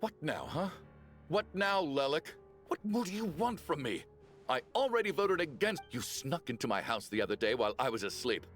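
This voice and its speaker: A man's voice: fairly high pitch, very animated, normal speed, a bit bright. An actor playing a self-important, jumpy wealthy man in a video game, theatrical and insistent, pressing others with questions. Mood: frantic, pleading. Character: panicky, accusatory, self-important wealthy man under threat. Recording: music behind the voice. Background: music.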